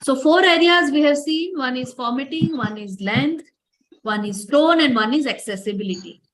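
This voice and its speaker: A woman's voice: high voice, normal speed, a little hiss or hum, poor audio. A woman giving an online lecture over a video call, earnest and addressing participants directly. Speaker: workshop lecturer.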